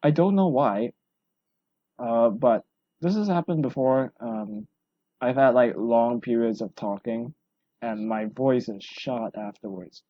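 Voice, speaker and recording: A man hosting a solo podcast, rambling and self-deprecating, his voice hoarse from talking a long time. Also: mid-range voice, slow pace, no room sound, highs cut off. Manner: rambling, self-deprecating, full of fillers and restarts. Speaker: podcast host.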